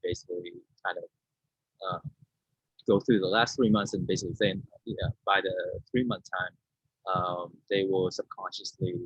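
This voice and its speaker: Low, husky, hesitant man on muffled call. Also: level tone, moderate pace. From Vietnam. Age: 30s. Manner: hesitant, searching for words. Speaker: artist being interviewed. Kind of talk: informal talk about own artwork. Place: indoor room, on a video call. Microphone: video-call microphone. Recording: muffled, roomy video-call audio.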